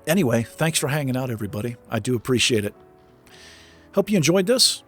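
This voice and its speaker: A man casually commenting on his city-building game while he plays, thinking aloud with lots of fillers, his voice going hoarse. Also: mid-range voice, sing-song, quick pace, fairly bright tone, soft background music. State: losing his voice. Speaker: gaming channel host.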